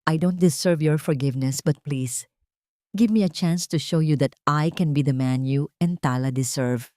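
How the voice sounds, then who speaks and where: Humbled rich man, read by a female narrator for story drama. Remorseful, earnest, low, dry recording. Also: moderate pace, no room sound, lightly acted. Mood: remorseful, pleading. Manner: hushed, earnest.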